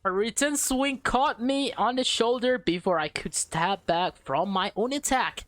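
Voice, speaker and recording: A woman let's player reads a visual novel aloud at an unhurried pace, tripping on words and repeating them. She drops casual, slangy asides between passages. The sound is close and dry, with faint music playing behind her. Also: medium pitch, no room sound. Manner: stumbles over words while reading, repeats phrases; slangy, excitable reactions.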